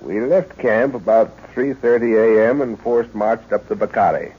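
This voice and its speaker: Low-voiced expedition professor, muffled old radio. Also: male voice, fairly even intonation, breathy edge. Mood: explaining. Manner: measured, explanatory, authoritative. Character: learned professor leading an African expedition, calm authoritative father. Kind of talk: old-time radio drama acting.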